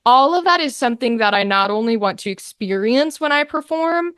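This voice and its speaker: A woman speaking as a guest in a casual interview, talking as an actor and game master, animated and given to acting out imagined quotes. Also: fairly high pitch, fairly quick, clear, resonant tone, no room sound, clean studio sound.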